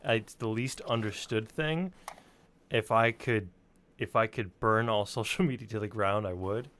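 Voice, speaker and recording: Cynical co-host dude, casual, clear smooth voice, on the lower side, swears a bit. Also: male voice, unhurried, a bit bright. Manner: wry, cynical, profane. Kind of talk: casual chat.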